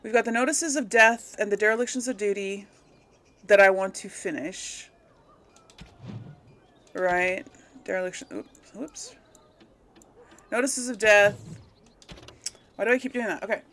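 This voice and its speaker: A woman's voice: medium pitch, measured pace, pauses often, a bit bright. A woman hosting a let's play, talking informally to her viewers and thinking aloud as she goes. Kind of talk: casual gaming commentary to viewers.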